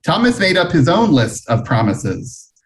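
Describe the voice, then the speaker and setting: Guy reading a kids picture book out loud, higher voice, really lively and slow. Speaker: storybook reader. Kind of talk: scripted children's story read-aloud.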